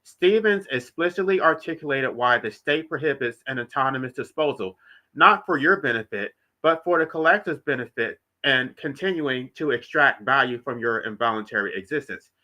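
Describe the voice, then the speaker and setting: A man's voice: medium pitch, animated, moderate pace, bright, forceful tone. A congested man with a nasal voice hosts a solo livestream, reading his own writing aloud and then explaining it in plain, emphatic terms. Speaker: author and channel host.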